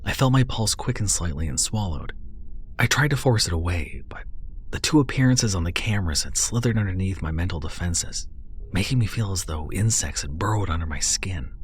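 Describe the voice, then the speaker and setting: A man narrating a scripted horror story with a hushed, dread-filled delivery. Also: low-pitched, expressive, a little fast, slightly rough.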